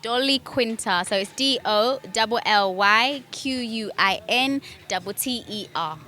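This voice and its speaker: A bright, clear young woman with a British accent talks through her own story in a relaxed interview. She is chatty and fills her pauses with ums, and she laughs easily. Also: on the high side. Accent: British English. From Kenya. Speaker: guest.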